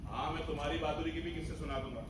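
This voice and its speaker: A man's voice: fairly high pitch, rapid-fire, natural voice. A young man on stage playing a stern, dutiful army major in Hindi, with commanding, earnest delivery. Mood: firm. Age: young adult. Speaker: student stage actor. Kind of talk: Hindi stage play acting. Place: stage in an echoing hall. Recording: echoey hall, distant mic, noisy.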